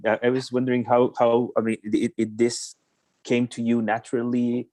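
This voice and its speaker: A man from Chile, a translator, asking questions on a video call in a halting way, often repeating words. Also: medium pitch, level tone, mellow, no echo. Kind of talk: online literary conversation.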